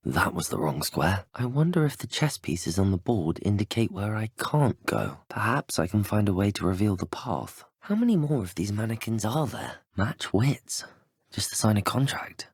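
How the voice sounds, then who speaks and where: A man's voice: fairly low pitch, darkish tone, slightly rough, no room sound, studio quality, exaggerated voice. An actor playing an earnest, courteous young male student wizard in a video game, speaking formally with a British accent. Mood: musing. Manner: formal, well-spoken, courteous. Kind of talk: scripted video game voice lines.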